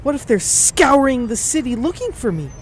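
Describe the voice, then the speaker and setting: High animated boy, played by an actor, awestruck comic fan, exclamatory, audio drama, faint noise behind. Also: moderate pace. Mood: worried. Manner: exclamatory, talking aloud to himself. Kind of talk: scripted audio drama acting.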